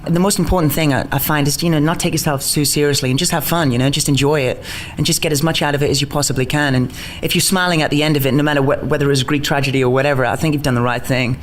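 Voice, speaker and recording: A man from the north east of England whose accent has become a mix of Geordie and American speaks quickly and excitedly, tripping over his words and laughing. His voice sits a little high. There is a faint hum of ambience behind him. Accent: mixed, mostly neutralised Geordie with American touches. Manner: rapid, bubbly, stumbling restarts, laughs often. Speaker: interviewed stage actor. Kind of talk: casual interview chat.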